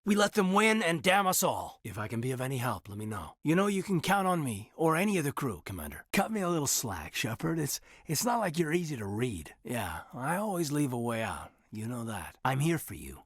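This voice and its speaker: A man playing an earnest, dutiful military officer in a video game, speaking in a husky, measured, sincere way. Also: mid-range voice, very animated, a bit bright, no room sound, studio quality, heavily acted. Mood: indignant, concerned, then reserved, tender. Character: earnest, dutiful male military officer, reserved and quietly romantic. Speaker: soldier. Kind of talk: video game character dialogue.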